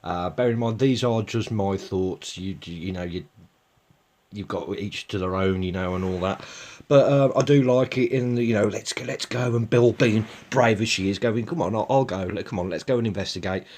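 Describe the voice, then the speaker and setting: A man's voice: on the low side, normal speed, a little mellow, slight background noise. A man with a Birmingham accent giving a casual, rambling TV episode review, full of fillers and jokey asides to viewers. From England.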